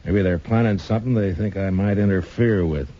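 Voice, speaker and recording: An actor playing a shrewd, suspicious frontier marshal in a 1950s radio western, speaking in a laconic, deliberate way. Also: male voice, low-pitched, mellow. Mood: wary, musing. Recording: muffled, hissy 1950s radio recording.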